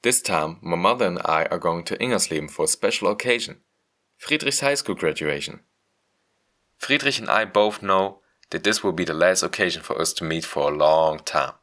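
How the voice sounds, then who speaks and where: Man, low pitch, scripted first-person narration, measured, earnest, dramatic storytelling. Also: a bit bright. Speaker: narrator of a motion comic.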